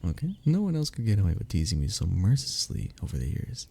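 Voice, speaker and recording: A young man acting an affectionate, playful best friend in an intimate audio roleplay, soft-spoken and gently teasing, with a gravelly, husky voice. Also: on the low side, huge pitch swings, quick pace, a little hiss or hum, heavily acted. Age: young adult.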